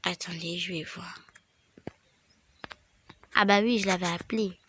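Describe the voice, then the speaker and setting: A woman's voice: on the low side, steady tone, measured pace, fairly bright tone, a little hiss or hum. A woman with a head cold, rambling through a casual game tutorial and dropping into whispered asides. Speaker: gaming video creator.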